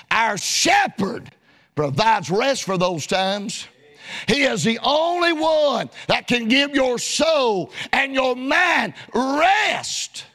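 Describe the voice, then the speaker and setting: A man delivering a fiery sermon in a church. His voice is very high and bright, and he shouts in a rhythmic preaching cadence, breaking it up with frequent amens.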